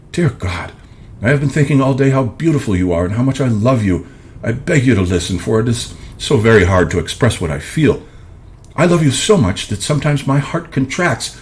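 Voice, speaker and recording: A man's voice: medium pitch, darkish tone, pitched above the performer's own voice, close to an everyday voice. A man reading a storybook voicing a fat, timid middle-aged lawyer who pleads in a shaking, half-smothered voice. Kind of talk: audiobook character reading.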